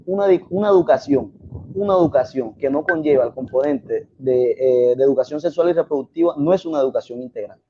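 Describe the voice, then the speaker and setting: A man, a biologist answering interview questions over a video call in an earnest, steady way. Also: mid-range voice. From Colombia. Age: 20s. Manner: earnest, steady explaining with filler pauses. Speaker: guest biologist and sex educator. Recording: slightly dull sound with faint noise.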